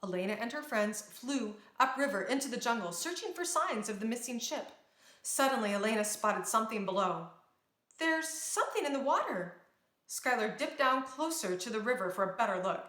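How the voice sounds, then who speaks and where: Woman, children's storybook narrator, bright forceful voice, higher pitch, expressive delivery, echoing room. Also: brisk. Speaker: storybook reader. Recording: roomy, echoing sound.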